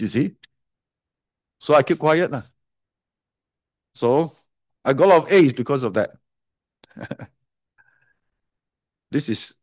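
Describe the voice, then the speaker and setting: A man delivers a sermon, his voice sitting a little higher than most men's. He preaches conversationally, repeating phrases for emphasis and slipping in joking asides. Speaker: pastor.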